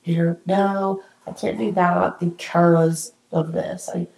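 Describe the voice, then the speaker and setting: A woman's voice: lowish voice, flat delivery, slow pace, vocal fry, a little mellow, echoey room. A young adult woman hosting her own podcast, talking alone in a candid, encouraging way with some casual swearing, her nose a little congested. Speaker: podcast host. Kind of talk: solo reflective podcast talk.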